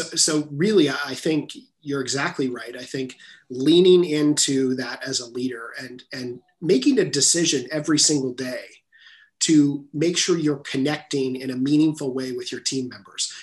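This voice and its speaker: Guy guest on a podcast, earnest, mid pitch, measured, rephrases a lot. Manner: earnest, measured, repeats words while rephrasing. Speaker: guest author. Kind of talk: reflective interview talk.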